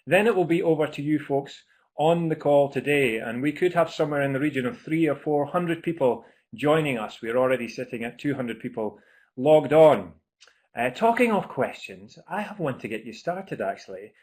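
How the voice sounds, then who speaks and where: A man's voice: fairly high pitch, animated, moderate pace, a little mellow. A man with a Scottish accent hosting a live webinar on a video call, chatty and upbeat, with frequent ums and uhs. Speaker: webinar host.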